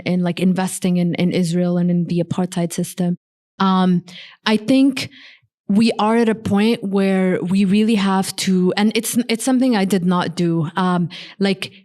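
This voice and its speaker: A woman's voice: mid-range voice, darkish tone. Earnest young woman, lots of fillers. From Morocco. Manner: heavy use of "like" fillers, earnest. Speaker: guest, software engineer. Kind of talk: casual conversational interview.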